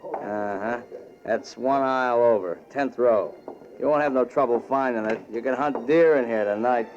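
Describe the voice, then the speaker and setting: A man plays a folksy theater usher in an old radio drama. His mid-pitched voice is casual and slangy, on a dull vintage broadcast. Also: normal speed, close to an everyday voice. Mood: dry, offhand. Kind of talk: 1940s radio acting.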